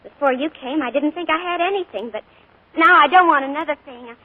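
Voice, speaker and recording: A woman playing a very high-voiced, dreamy teen girl. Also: fairly quick, phone-quality sound, close to an everyday voice. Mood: earnest. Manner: breathless and eager, earnest. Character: dreamy, earnest teenage girl. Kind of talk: 1940s radio acting. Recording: scratchy 1940s radio broadcast.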